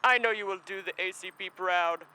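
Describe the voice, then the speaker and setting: A man's voice: sits high, a little fast, bright, forceful tone, a little hiss or hum. A man playing a formal authority figure sending off a space mission in a comedic sci-fi play. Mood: solemn.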